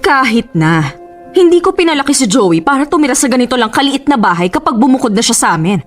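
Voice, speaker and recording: A fault-finding, haughty mother-in-law from a radio drama. She speaks fast and high with very animated swings, heaping on reproach. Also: faint music behind. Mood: disdainful reproach. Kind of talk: Tagalog radio drama acting.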